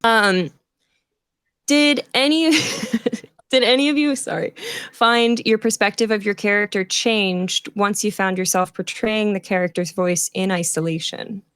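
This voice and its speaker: A woman's voice: mid-range voice, unhurried, fairly bright tone, clear, resonant tone, no echo. Female host, informal live stream chat, loose and apologetic, mid-range voice, dry sound. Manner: informal, apologetic, swears casually. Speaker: host relaying audience questions.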